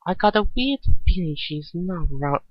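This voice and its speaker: A man voicing a kind, curious young woman mechanic in a story reading, earnest and halting. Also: high-pitched, expressive, no room sound, pitched above the performer's own voice. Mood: musing. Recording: dull lo-fi recording.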